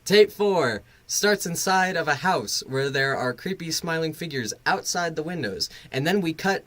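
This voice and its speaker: A man's voice: a little high, expressive, unhurried, a bit bright. A man hosting a casual live reaction stream, rambling and swearing, bluntly critical with a sarcastic, self-deprecating streak. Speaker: livestream host and reviewer.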